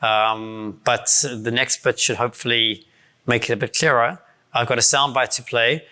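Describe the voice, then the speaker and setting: A man in his forties from South Africa, with a South African accent softened by years abroad, giving a casual conference talk with many fillers and restarts. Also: mid-range voice, fairly even intonation, normal speed. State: voice strained from shouting the night before. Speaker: conference speaker. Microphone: handheld or stand mic.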